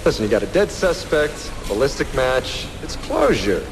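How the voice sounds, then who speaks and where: An actor playing a smug, condescending security chief in a crime audio drama, brusque and self-important with veiled menace. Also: male voice, medium pitch, very animated, normal speed, music in the background.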